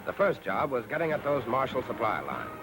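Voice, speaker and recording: A man's voice: mid-range voice, sing-song, a little fast, over music. An American man narrating a scripted 1940s wartime newsreel in a punchy, colloquial, patriotic style. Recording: noisy 1940s film soundtrack with artillery.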